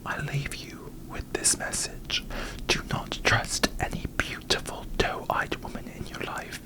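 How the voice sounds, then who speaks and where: A man voicing a haughty, bitter young fantasy queen in a breathy ASMR whisper, with pompous, formal diction, as parody. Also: a little slow, lightly acted, rough recording. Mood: gracious, haughty.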